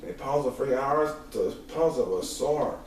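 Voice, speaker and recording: A man reading a rhyming children's story aloud as an expressive narrator. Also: medium pitch, unhurried. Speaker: storybook reader. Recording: very echoey room, distant mic, faint noise.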